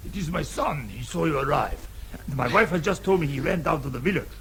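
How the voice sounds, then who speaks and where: High-voiced, worried Tyrolean guide. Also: male voice, expressive, fairly quick, creaky. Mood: anxious. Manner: formal, deferential, worried. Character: anxious, deferential Tyrolean father working as mountain guide. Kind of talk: radio drama acting. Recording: radio drama with faint background noise.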